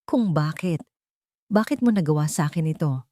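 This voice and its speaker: Lady narrator playing a scorned wife out for revenge, cold n defiant, lowish voice, emphatic. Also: expressive, normal speed, dark tone, dry recording, clean studio sound. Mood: demanding. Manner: emphatic, accusing declarations.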